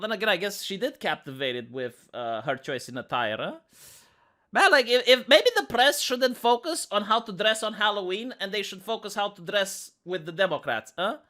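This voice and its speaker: A woman with a Romanian accent and a squeaky voice, hosting sarcastic political commentary with rambling restarts. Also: bright, forceful tone. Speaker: commentary channel host.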